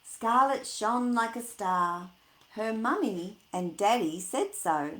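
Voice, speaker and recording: Unhurried woman reading children's stories, echoey room. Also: mid-range voice, lively intonation, a bit bright. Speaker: storytime host reading a picture book aloud to children. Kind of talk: children's story reading with informal chat.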